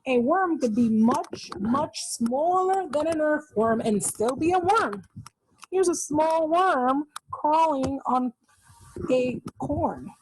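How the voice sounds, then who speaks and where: A woman's voice: high-pitched, animated, slow pace, a little hiss or hum, low-quality recording. A woman reading a children's nonfiction book aloud, explaining as she goes and pointing out the pictures. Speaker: read-aloud host for children.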